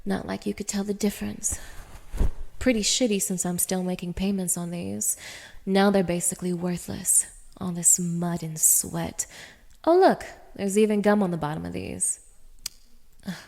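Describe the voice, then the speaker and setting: A woman's voice: fairly low pitch, moderate pace, mellow. Woman acting a sneering, contemptuous dominatrix. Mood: irritated, sulky. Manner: sneering, scornful, swearing, swinging from breathy murmurs to raised snaps. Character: cruel, contemptuous young dominatrix belittling a submissive man. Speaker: audio roleplay performer. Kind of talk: intimate ASMR roleplay acting.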